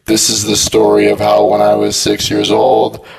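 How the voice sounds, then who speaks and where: Guy telling a deadpan story, low crackly voice, crowd noise behind him. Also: moderate pace, vocal fry.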